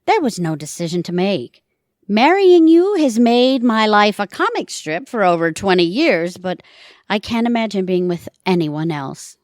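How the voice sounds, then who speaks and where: Animated, sardonic scheming wife, played by a woman. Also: medium pitch, measured pace, dry recording. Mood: wry, tender. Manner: dry, wry, animated. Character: scheming, sardonic middle-aged wife bent on revenge. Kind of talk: audio theatre comedy acting.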